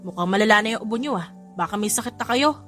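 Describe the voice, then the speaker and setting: A woman's voice: medium pitch, expressive, quick pace, a bit bright, soft background music, close to an everyday voice. A woman playing a devoted, playful young husband in an audio drama. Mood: playful, affectionate.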